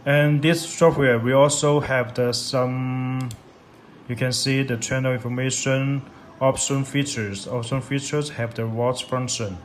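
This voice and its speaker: A man demonstrating a product in a step-by-step tutorial, speaking halting, repetitive non-native English. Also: mid-range voice, steady tone. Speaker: product demonstrator for a radio seller. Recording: echoey room, loud background noise.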